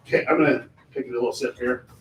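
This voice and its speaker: A man hosting a casual livestream chat on a film set, excited and chatty, with a loud voice he tries to keep down. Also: mid-range voice, fast talker. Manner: excited, chatty, keeps voice down for filming. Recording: echoey, distant mic with faint background noise.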